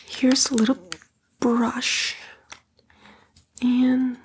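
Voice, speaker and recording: A woman playing a coaxing, condescending female demon in a breathy, whispered ASMR roleplay. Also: high voice, little pitch movement, extremely slow, noisy background. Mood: coaxing, soothing. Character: female demon, a fallen angel, coaxing and vain tempter.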